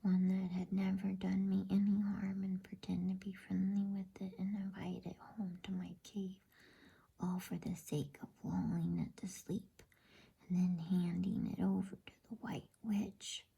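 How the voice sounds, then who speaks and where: A woman's voice: mid-range voice, level tone, unhurried, vocal fry, warm tone, husky, heavily acted. A woman voicing a sorrowful male fawn full of self-reproach, in a whispered bedtime storybook reading. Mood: confessing, miserable. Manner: self-reproaching, groaning, lamenting. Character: sorrowful, guilt-ridden, sobbing male fawn.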